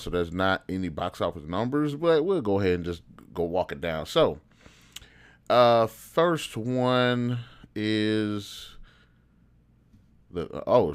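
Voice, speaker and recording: A man, around his thirties, giving casual unscripted commentary as a video host, in a rambling, opinionated, conversational way. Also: fairly low pitch. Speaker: video host reviewing video game films.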